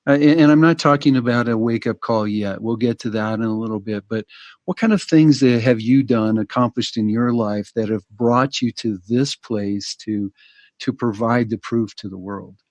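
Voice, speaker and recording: A man's voice: medium pitch, normal speed, darkish tone, no echo, highs cut off. A man hosting a podcast, enthusiastic and upbeat as he asks his guest questions. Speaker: podcast host. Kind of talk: casual podcast interview.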